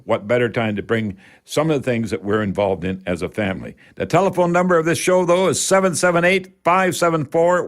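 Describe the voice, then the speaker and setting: Folksy older Canadian radio host man. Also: on the high side. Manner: rambling, emphatic, addresses listeners as folks. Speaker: radio host of a home improvement call-in show. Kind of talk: folksy talk radio hosting.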